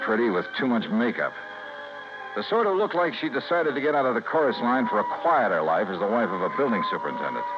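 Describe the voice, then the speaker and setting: A man with an American accent playing a hard-boiled insurance investigator in a golden-age radio drama, speaking in an emphatic, clipped manner. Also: medium pitch. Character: hard-boiled male insurance investigator, anguished and indignant as a suspect. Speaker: lead actor and narrator. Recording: muffled, noisy vintage radio recording.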